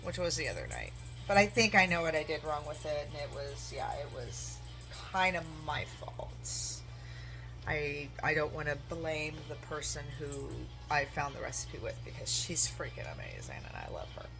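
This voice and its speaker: A woman in her forties talks in a soft, low voice and drifts from one thought to the next while she bakes. She often pokes fun at herself. The phone recording carries clear music behind her. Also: sing-song, normal speed. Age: 40s. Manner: soft-spoken, meandering, self-deprecating. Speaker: home cooking vlogger. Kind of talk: casual rambling while baking. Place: home kitchen.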